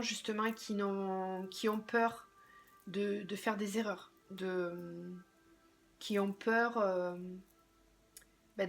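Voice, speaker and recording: A slightly hoarse woman doing a tarot reading, thinking aloud hesitantly and often trailing off into a quieter voice. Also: medium pitch. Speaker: tarot card reader.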